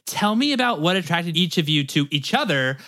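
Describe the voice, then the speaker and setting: A man hosting a business podcast, reading an introduction and asking his guests questions in an even manner. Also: a little high, brisk. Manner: even, reading-style delivery. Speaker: podcast host. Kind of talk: scripted intro, then interview questions.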